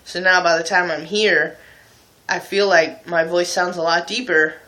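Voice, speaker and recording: A man's voice: high voice, steady tone, a little slow, bright, forceful tone, poor audio. A young adult man talking casually and candidly to viewers as a video blogger, in an informal, self-mocking way. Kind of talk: casual confessional chat to viewers.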